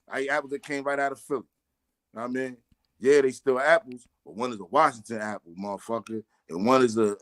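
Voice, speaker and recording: A man's voice: medium pitch, dry recording. A man, co-hosting a podcast and arguing his points bluntly, with plenty of swearing. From United States. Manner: blunt, argumentative, swears freely. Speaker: podcast co-host. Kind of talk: casual argumentative podcast chat.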